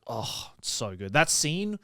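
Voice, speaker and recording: A man talks as a guest on a casual podcast, keen and chummy, dropping swear words and quick agreements. His voice is smooth and clear, captured close and dry with no room sound. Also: mid-range voice, big pitch swings, bright, forceful tone, dry recording. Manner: eager and chummy, swears casually, lots of "yeah".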